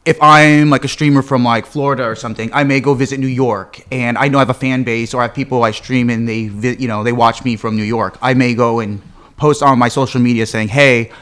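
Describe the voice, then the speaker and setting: A man's voice: medium pitch, fairly even intonation, brisk, fairly bright tone, clear, resonant tone. A man chatting casually as a podcast guest, explaining things in loose, conversational phrases peppered with "like" and "you know". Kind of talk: casual chat.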